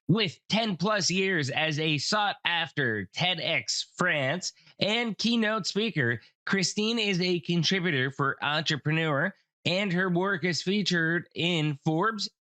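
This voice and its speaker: A man's voice: a little high, sharp tone, clean tone, dry recording. A middle-aged man hosting a podcast, upbeat and jokey, chatting casually with a guest. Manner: upbeat, jokey, repeats himself. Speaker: podcast host. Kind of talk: casual interview chat.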